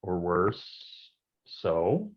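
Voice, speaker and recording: Man acting a deep, animated hardboiled detective. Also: extremely slow, lo-fi sound, exaggerated voice. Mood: wry, brooding. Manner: slangy tough-guy talk. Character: hardboiled, wisecracking, down-at-heel male noir private detective. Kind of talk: noir stage acting with narrated monologue. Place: livestreamed staged reading.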